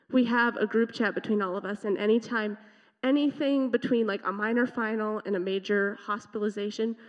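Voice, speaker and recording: Earnest woman in a reverberant church. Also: on the high side. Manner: earnest, emotional, loud voice. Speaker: youth leader sharing testimony. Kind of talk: church testimony talk. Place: church sanctuary. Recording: dull sound with long hall reverb.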